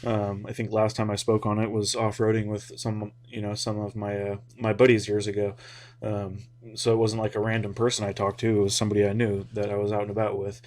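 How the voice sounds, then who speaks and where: An American man with a fairly low voice talks casually through his points as a hobby video host. His delivery is flat in pitch, informal and a little hesitant, wandering along as he thinks aloud. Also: a little fast. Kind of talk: casual, rambling explainer talk.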